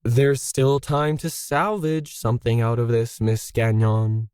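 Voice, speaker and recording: A man's voice: medium pitch, steady tone, measured pace, a little mellow, clean tone, no echo, lightly acted. Man as audiobook tycoon villain, smug, condescending, formal, persuasive, close dry sound. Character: scheming billionaire man, smug, coolly persuasive.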